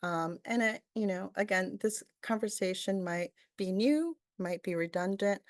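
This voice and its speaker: A woman's voice: mid-range voice, unhurried, dry recording, clean studio sound. A woman from Peru giving a webinar presentation, thoughtful and full of fillers, often asking "right?".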